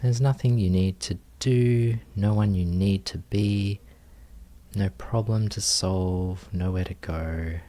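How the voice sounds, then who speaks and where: Slow, low-voiced man guiding meditation. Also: steady tone. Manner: gentle, unhurried, pausing between short phrases. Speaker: meditation teacher. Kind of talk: guided meditation.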